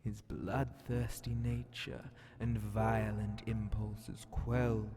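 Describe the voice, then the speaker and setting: A man voicing a scheming demon Grand Vizier in a whispered ASMR fantasy roleplay, sly, menacing and condescending, with breathy, theatrical, drawn-out phrasing. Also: little pitch movement. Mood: scornful scheming. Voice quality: breathy whispering mixed with low gravelly creak.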